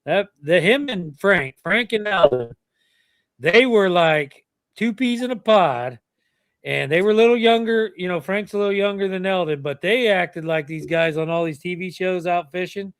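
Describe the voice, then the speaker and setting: Middle-aged host, slightly higher voice, dry close sound, rambling storyteller. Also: male voice, lively intonation, moderate pace, no room sound. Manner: rambling storyteller, chuckles often. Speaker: channel host. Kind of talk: casual family chat.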